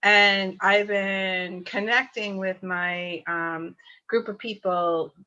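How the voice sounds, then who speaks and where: Bright chatty Irish woman guest. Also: mid-range voice, fairly even intonation, takes it slowly, dull audio. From Ireland. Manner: chatty, rambling, laughs often. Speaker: podcast guest. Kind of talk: casual chat.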